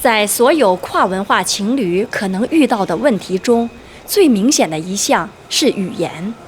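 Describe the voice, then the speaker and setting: A woman's voice: mid-range voice, expressive, moderate pace, a little hiss or hum. A woman doing scripted documentary narration as a voice-over.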